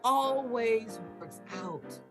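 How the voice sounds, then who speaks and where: A woman performs spoken word at a slow pace with lively swings in pitch. Guitar music plays clearly behind her voice.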